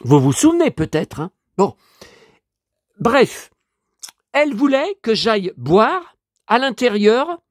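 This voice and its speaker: A man's voice: extremely high pitch, sing-song, slow pace, long pauses, no room sound, clean studio sound. A man with a stuffy head cold, rambling through a casual vlog monologue and complaining in exasperation. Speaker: vlogger and collector.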